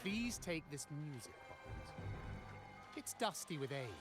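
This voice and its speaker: Flamboyant greedy demon showman from a game, highish voice swooping all over, sly and grandiose, music behind. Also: big pitch swings, measured pace. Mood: theatrical, gracious. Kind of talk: video game story dialogue.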